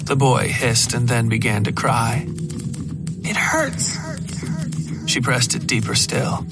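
A man's voice: mid-range voice, gravelly. A man reading scripted horror fiction as the narrator of an audio story. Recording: prominent music under the voice.

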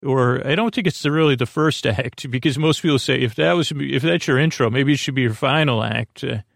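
Male podcast host, creaky and lulling, wandering and self-interrupting delivery, very dry recording over faint music. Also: medium pitch, no room sound. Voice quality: creaky, croaky. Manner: meandering, halting, stammering, self-interrupting; soothing, lulling. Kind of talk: rambling bedtime podcast monologue.

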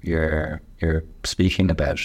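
A man's voice: extremely low pitch, little pitch movement, slow pace. A man hosting an interview podcast, asking questions and encouraging his guest with "yeah" and "wow". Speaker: podcast host. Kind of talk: interviewing conversationally.

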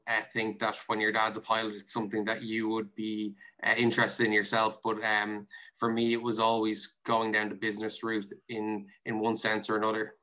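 A man with an Irish accent giving reflective, measured answers as a guest in a conversational interview. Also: lowish voice, bright, forceful tone, low-quality recording. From Ireland. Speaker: podcast guest, investment and finance director.

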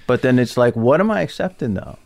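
A man in his fifties with a gravelly, fry-heavy voice, talking candidly about his own self-doubt. He is self-deprecating and drops into mocking voices for his inner critic. The sound is dry and close. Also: mid-range voice, animated, fast, a little mellow, no echo. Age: 50s. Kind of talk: candid casual conversation.

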